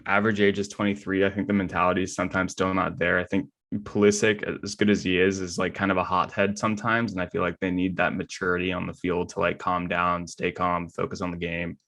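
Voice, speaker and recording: Male, very deep pitch, gravelly fry, podcast co-host, casual sports chat. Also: flat delivery, quick pace, hardly any pauses, fairly bright tone.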